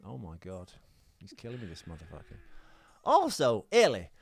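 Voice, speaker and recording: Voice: medium pitch, wildly swooping pitch, moderate pace, no echo. A podcast host reading listener mail aloud in a jokey, sweary way, often stumbling over the text.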